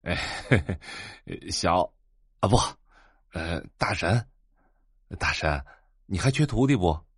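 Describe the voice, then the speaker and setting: An actor playing an eager, fawning young computer shop owner in an audio drama, with an excitable, ingratiating manner. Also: male voice, low voice, slow, long pauses, breathy edge, dry recording, studio quality, exaggerated voice. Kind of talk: Chinese audio drama acting.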